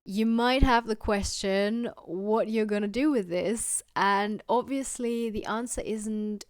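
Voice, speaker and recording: Chatty young woman from Austria, smooth voice. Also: medium pitch, slow pace. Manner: chatty, frequent "like" fillers, earnest. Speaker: literature student vlogger. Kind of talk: casual explanatory vlog.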